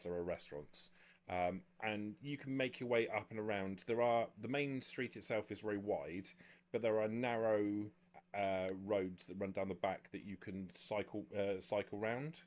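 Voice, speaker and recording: A man running a tabletop game as game master, improvising narration in a halting way with repeated words. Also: lowish voice, fairly even intonation, darkish tone. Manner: halting, frequent stammered word repeats.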